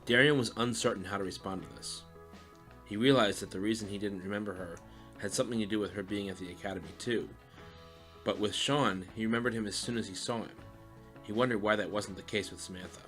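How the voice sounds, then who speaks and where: A man reads scripted story narration in a fairly low voice. Faint music runs underneath his narration. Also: fast talker, fairly bright tone.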